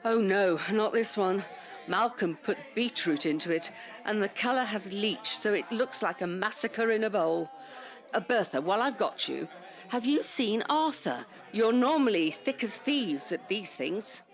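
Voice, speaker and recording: Lively, bossy British old lady. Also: medium pitch. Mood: brisk, bossy. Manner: brisk, busybody, theatrical. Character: bossy, fussy, chirpy elderly woman care-home resident. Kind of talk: audio drama acting.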